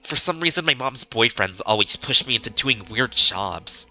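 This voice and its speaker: A man reading the lines of a brash, cheerful young woman who grew up somewhere rough, in a blunt, matter-of-fact way. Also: medium pitch, lively intonation, normal speed, pushed and bright. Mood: blunt, carefree.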